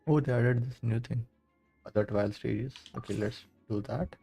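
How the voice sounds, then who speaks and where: A man's voice: mid-range voice, steady tone, normal speed, creaky, warm tone, faint music behind. A man giving casual live game commentary, offhand and half talking to himself as he plays. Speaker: game streamer.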